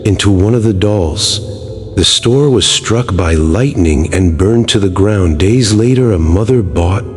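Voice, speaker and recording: Low-voiced male narrator who trips over words, heard with long reverb and music underneath. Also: expressive, a little slow, a little mellow, slightly rough. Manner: stumbles over names and words. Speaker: narrator of a film backstory. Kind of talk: read-aloud narration.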